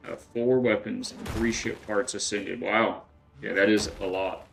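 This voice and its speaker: A man's voice: on the low side, steady tone, unhurried, gravelly, fairly bright tone, faint music behind. A middle-aged man from West Texas chatting casually while he plays a game, rambling and thinking aloud with lots of fillers and restarts. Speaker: game streamer. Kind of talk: casual gameplay chat with chat readers.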